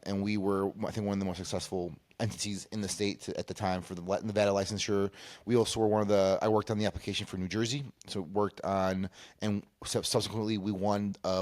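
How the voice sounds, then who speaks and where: A man from Peru speaking as an interview guest, casually recounting his career in a halting way, often circling back to rephrase. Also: fairly low pitch, dry recording, studio quality. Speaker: interview guest, cannabis industry consultant.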